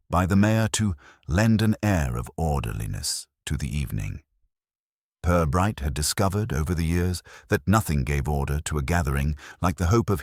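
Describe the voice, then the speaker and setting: A man narrating a period comic mystery from a script in a wry, measured, literary manner. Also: rumbling low, no echo, clean studio sound. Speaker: narrator of an audio mystery story.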